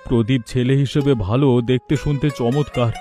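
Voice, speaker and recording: Anxious widowed cartoon father played by a man, soft mellow tone, lamenting delivery, faint music underneath. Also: mid-range voice, steady tone, fairly quick, natural voice. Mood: worried, weary. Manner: plaintive, lamenting. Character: anxious, self-pitying widowed father of grown daughter. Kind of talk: melodramatic cartoon dialogue. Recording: music behind the voice.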